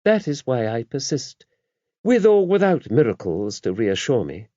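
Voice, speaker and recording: A man voicing an older abbess in an audiobook, a shrewd, gently wry woman who talks in a brisk, genteel, confiding way. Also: mid-range voice, big pitch swings, no echo, pitched above the performer's own voice. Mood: earnest. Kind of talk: audiobook character voice. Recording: dull, muffled lo-fi audio.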